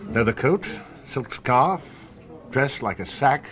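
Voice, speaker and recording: An actor playing a wry, world-weary French police inspector in a naturalistic radio drama, sardonic and colloquial. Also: male voice, medium pitch, animated, slow, fairly bright tone. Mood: wry recounting.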